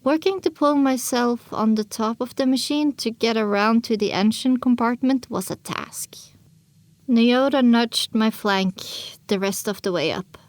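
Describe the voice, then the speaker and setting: A woman's voice: fairly high pitch, no room sound. A woman reading scripted first-person story narration as the narrator of an audio drama.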